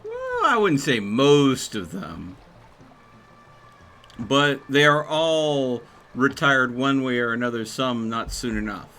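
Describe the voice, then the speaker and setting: A man streaming a game, chatting casually in a rambling, halting way. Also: mid-range voice, huge pitch swings, a bit bright, faint music behind. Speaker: live streamer. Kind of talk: casual gaming livestream chat.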